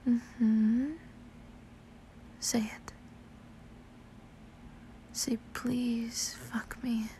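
A woman speaking in a teasing, commanding tone, mostly in a breathy whisper, for roleplay audio. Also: on the high side, a little mellow, a little hiss or hum.

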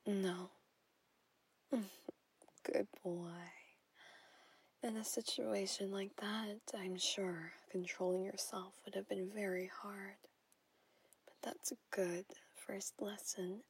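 A woman's voice: mid-range voice, little pitch movement, takes it slowly, pauses often, full character voice. A woman in a whispered audio roleplay, playing a jealous, possessive stepmother who coaxes and controls. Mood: soothing, possessive. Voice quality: breathy whisper. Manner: intimate whispering, coaxing and controlling.

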